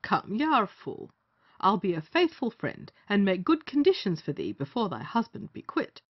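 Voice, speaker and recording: A woman's voice: mid-range voice, wildly swooping pitch, moderate pace, husky, full character voice. A woman playing a bossy, scheming male justice of the peace in an old stage comedy, theatrical and commanding. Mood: conspiring. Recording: muffled lo-fi audio.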